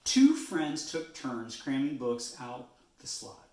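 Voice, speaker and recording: A man reading a children's storybook aloud in an expressive way, stretching words for emphasis. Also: mid-range voice, echoey room. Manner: expressive, drawn-out phrasing with emphasis.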